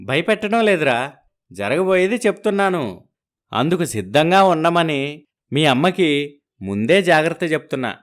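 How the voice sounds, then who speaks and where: A sardonic middle-aged husband in a cartoon family story, played by a man. He grumbles sceptically and teases, in a fairly high male voice. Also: big pitch swings, moderate pace, pauses often. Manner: sardonic, teasing.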